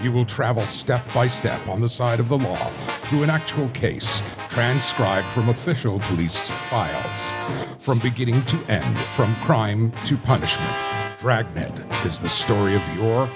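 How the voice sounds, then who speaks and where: A man's voice: mid-range voice, lively intonation, measured pace. A man announcing a radio play in a formal, scripted 1950s style, solemn and authoritative. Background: loud live music.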